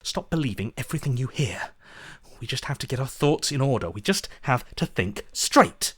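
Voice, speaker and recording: A man acting an earnest, wry hero's inner voice in a video game, with an animated, emphatic delivery. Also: medium pitch, normal speed, breathy edge. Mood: panicked, urgent. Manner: animated, sarcastic, exasperated, emphatic. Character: earnest, wry young male hero as an inner voice.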